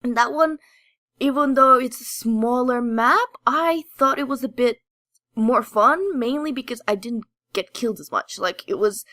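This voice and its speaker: A woman's voice: fairly high pitch, moderate pace, bright, forceful tone, dry recording, studio quality. A woman co-hosting a podcast, chatting casually about games in a hesitant, rambling way. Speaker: podcast co-host. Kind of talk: casual gaming discussion.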